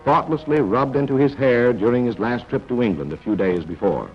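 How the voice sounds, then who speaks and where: A man's voice: medium pitch, fairly quick. A man reading scripted narration for a 1940s training film. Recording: muffled 1940s film soundtrack. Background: music.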